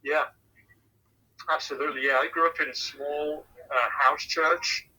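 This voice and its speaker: Bright, husky Englishman. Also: a little high, steady tone, poor audio. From England. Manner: measured, repeats words as he thinks. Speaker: missions pastor. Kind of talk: casual phone interview answers.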